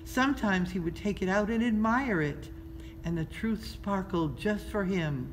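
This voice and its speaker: A woman reads a children's story aloud in a measured, expressive storytelling style, pausing between phrases. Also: mid-range voice, poor audio. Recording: noticeable background noise.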